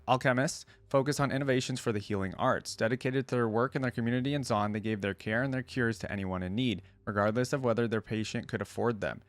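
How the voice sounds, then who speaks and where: Male reaction-video host, medium pitch, very dry sound. Casual, thinking-aloud commentary mixed with read-aloud passages. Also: no room sound. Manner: thinks aloud with frequent tag questions.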